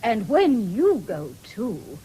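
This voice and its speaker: Posh gushy middle aged mother, very lively pitch, patriotic, old radio play with faint hiss. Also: slow pace, full character voice, poor audio. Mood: gushing, earnest. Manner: effusive, pious, refined. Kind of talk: radio drama acting. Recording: 1970s radio broadcast with background noise.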